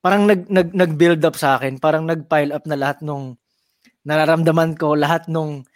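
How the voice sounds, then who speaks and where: Young Filipino male DJ, sincere and rambling, a bit high-pitched, dry close mic. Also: steady tone, brisk, dry recording. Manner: earnest, repeats himself, mixes Filipino and English. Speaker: radio DJ co-host. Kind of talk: casual talk-show banter.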